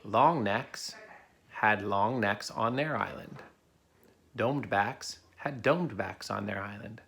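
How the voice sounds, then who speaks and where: Casting: male parent reading a picture book to a kid, fairly deep and gravelly, unfussy storyteller. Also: slow pace, a bit bright, slight background noise. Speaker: father reading aloud to a child. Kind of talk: read-aloud of a children's picture book.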